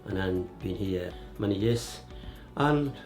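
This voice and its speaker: A man from Somalia, a community worker giving halting interview answers full of fillers, then firm advice. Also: low voice, expressive, a little mellow, soft background music. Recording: echoey hall.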